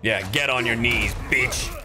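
A man's voice: medium pitch, measured pace, over music. A man giving casual, playful gameplay commentary as a streamer, exclamatory and full of swearing. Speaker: streamer, voice actor.

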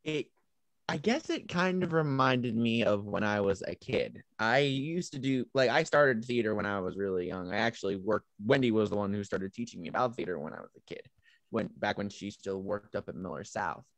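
A man's voice: on the low side, moderate pace, gravelly, a bit bright, no echo. A young man reflecting casually as a guest actor on a video call. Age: young adult. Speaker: amateur actor, panel guest.

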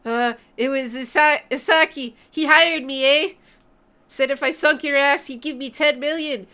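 A woman's voice: high voice, steady tone, unhurried, bright, forceful tone, heavily acted. A woman voicing a sheepish male yakuza thug with a put-on Canadian accent, apologizing and adding "eh" to his lines. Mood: pleading. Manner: apologetic, tacks "eh" onto lines.

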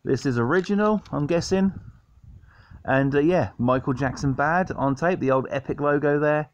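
A man's voice: a little high, normal speed, slight background noise. A man giving a chatty, enthusiastic show-and-tell in a casual home vlog style. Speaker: video host showing a cassette collection. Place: indoors at home by a sofa. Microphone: handheld camera or phone mic. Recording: dull lo-fi camera audio.

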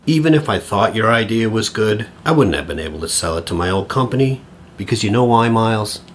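An actor playing an awkward, earnest middle-aged divorced man in an audio drama, halting and stammering, eager to please. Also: on the low side, expressive, slight background noise, natural voice. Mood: earnest, persuading. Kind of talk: naturalistic audio drama acting.

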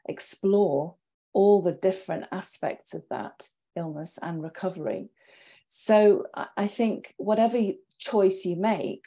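A woman in her fifties speaking as a panelist in a deliberate, reflective way, pausing between phrases. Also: lowish voice, mellow. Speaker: therapist, panelist. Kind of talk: measured reflective talk. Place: home office, indoors. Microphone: wired earphones, video call.

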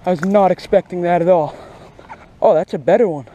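A young man giving casual, slangy and excitable fishing commentary outdoors, recorded on a body-worn action camera. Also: sits high, a little fast. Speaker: fishing vlogger. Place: outdoors beside a grassy pond.

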